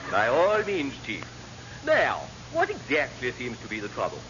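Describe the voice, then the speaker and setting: An actor playing a fussy, kindly naval padre with a pompous, churchy way of talking, in classic radio sitcom style. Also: male voice, mid-range voice, huge pitch swings, normal speed. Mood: earnest, helpful. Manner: pompous, churchy, ornate phrasing. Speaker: ship's chaplain and welfare officer. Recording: muffled, noisy old radio comedy recording.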